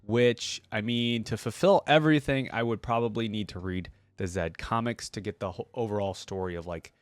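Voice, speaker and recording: A man hosting a reaction video, reading text aloud and commenting casually as he thinks out loud to his viewers. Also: on the low side, fairly quick, no room sound.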